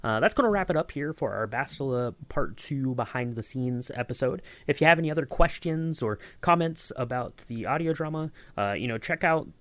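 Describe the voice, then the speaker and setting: A man's voice: medium pitch, lively intonation, fairly quick, hardly any pauses, fairly bright tone, no echo. A man co-hosting a podcast in a casual behind-the-scenes chat, rambling with lots of fillers and restarts. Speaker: podcast co-host.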